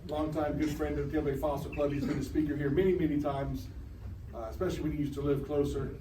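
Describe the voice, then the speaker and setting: A man hosting a club meeting, introducing the guest speaker in a halting way with many fillers. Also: a little high, steady tone, dark tone. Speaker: club host. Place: meeting room. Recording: echoey room, distant mic, noise.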